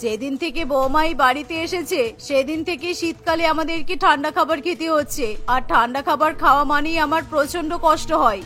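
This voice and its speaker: A woman's voice: sits high, a little fast, hardly any pauses, sharp tone, soft background music. A woman voicing an ailing, complaining elderly mother-in-law in a cartoon, plaintive and reproachful. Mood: aggrieved. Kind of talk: cartoon voice acting.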